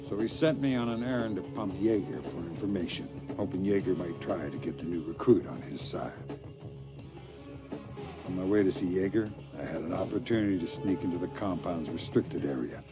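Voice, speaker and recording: A male actor plays a grieving, hardened spy in a video game. He talks low, terse and guarded, with defiance underneath. Music plays clearly behind him. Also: brisk, lightly acted. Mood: grim recounting. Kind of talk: game cutscene dialogue and narration.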